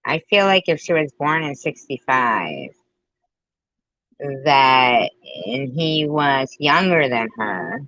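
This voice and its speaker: A woman's voice: deep voice, big pitch swings, slow, a bit bright, dry recording, lo-fi sound. A woman in her fifties hosting a reaction commentary, blunt and sarcastic, often repeating herself and swearing. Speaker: commentary host.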